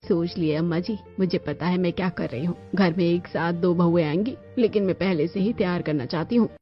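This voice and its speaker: Woman voicing a determined middle-aged mother, low voice. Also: brisk, close to an everyday voice. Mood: firm, insistent. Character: determined middle-aged Indian mother planning separate kitchens. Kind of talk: Hindi cartoon story dialogue. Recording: dull lo-fi audio with music.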